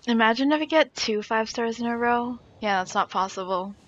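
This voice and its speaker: A woman's voice: a little high, normal speed. A young woman live-streaming a game in casual, reactive chat, swearing casually, on slightly dull lo-fi audio.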